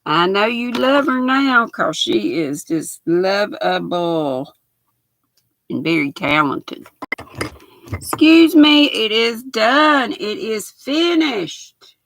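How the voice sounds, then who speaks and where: An older woman with a raspy voice, chatting folksily with her livestream viewers while she sews. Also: fairly high pitch, big pitch swings, takes it slowly, fairly bright tone. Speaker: knitting livestream host. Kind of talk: casual live chat while sewing.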